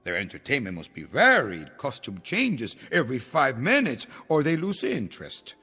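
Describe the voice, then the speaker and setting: An actor playing a paranoid, grandiose revolutionary leader in a mid-century television drama. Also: male voice, mid-range voice, huge pitch swings, normal speed, bright, forceful tone, breathy edge, heavily acted. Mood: scornful. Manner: grandiose, theatrical, commanding. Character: paranoid, imperious male revolutionary leader turned ruler. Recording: old TV soundtrack, reverberant, music behind.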